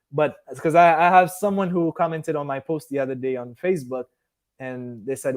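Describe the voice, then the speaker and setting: A man in his early thirties who grew up in Haiti and Miami speaks with a fairly high voice. He hosts a casual live stream, chatting to viewers in a loose, rambling style. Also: darkish tone. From Haiti, moved to Miami at fourteen. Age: early 30s. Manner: rambling, loses and regains his thread. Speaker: vlogger hosting a live stream. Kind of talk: casual chat, answering live comments.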